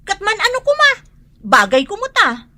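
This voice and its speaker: An actress with an Ilocano accent playing a vain, testy, sharp-tongued wife in a comedy radio drama, exclaiming defensively. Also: female voice, extremely high pitch, wildly swooping pitch, moderate pace, full character voice.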